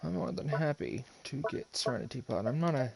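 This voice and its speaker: A man's voice: mid-range voice, quick pace, vocal fry, background noise. A man giving casual live commentary while streaming a game. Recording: game music loud behind voice. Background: loud game music and effects.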